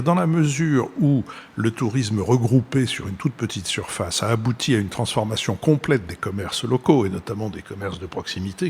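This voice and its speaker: A man's voice: mid-range voice, creaky, slight background noise. A man hosting a panel discussion, putting long, discursive questions with a wry turn of phrase. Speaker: host, moderator.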